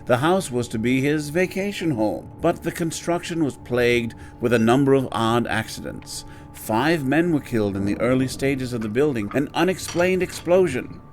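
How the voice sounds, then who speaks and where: A man plays the master of a haunted inn in a horror audio drama. His middle-pitched voice is formal, measured and genteel, with an ominous courtesy, and music plays underneath. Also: expressive, close to an everyday voice. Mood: grave storytelling.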